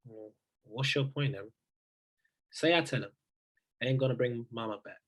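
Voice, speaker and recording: Man playing a bright-voiced, guarded younger-brother figure. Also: mid-range voice, moderate pace, close to an everyday voice. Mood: guarded, dismissive. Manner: terse, slangy, downplaying. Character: guarded, weary brother, stubborn and self-reliant. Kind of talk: scripted table read acting.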